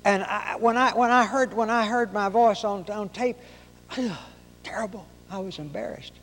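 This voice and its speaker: A man from Oklahoma with a high-pitched nasal voice, preaching a sermon in folksy storytelling fashion. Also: unhurried, a bit bright, in a large hall. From Oklahoma, raised in Arkansas.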